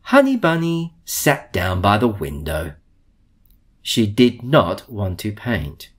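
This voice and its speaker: Male children's book reader, unhurried, slightly husky, theatrical emphasis, highly expressive. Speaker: storybook reader. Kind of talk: animated children's storybook reading.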